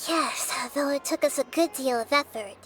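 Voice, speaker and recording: Childlike little goddess, high voice, composed, gentle, measured, anime game, faint music. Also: female voice. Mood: calm, businesslike. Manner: measured, soft-spoken, formal. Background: background music.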